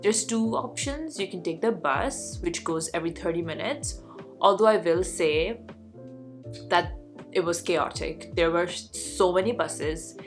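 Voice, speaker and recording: A woman's voice: fairly low pitch, measured pace, soft background music. A young woman from Pakistan who lives abroad, chatting casually to her vlog audience and filling her talk with "like" and "um". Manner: chatty, lots of "like" and "um" fillers. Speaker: vlogger. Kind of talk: casual chat to camera.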